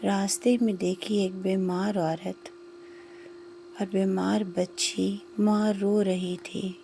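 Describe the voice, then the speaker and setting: A woman narrating a scripted moral story in short, measured phrases with pauses. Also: mid-range voice, level tone, gravelly, dark tone, slight background noise.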